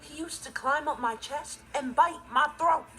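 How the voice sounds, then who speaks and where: A man's voice: extremely high pitch, normal speed, noisy background. A young male actor playing an earnest, responsible, easily exasperated teenage stepbrother, telling stories with emphatic comic timing in a TV sitcom. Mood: mournful deadpan. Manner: emphatic, theatrical comic delivery. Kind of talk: scripted comic monologue in a TV sitcom. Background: music and laughter.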